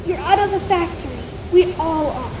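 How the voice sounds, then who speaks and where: A man voicing a gentle, soothing female pegasus pony who reassures, in a dramatic story reading. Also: very high-pitched, normal speed, voice pushed up. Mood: tender, reassuring. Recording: echoey, distant, noisy background.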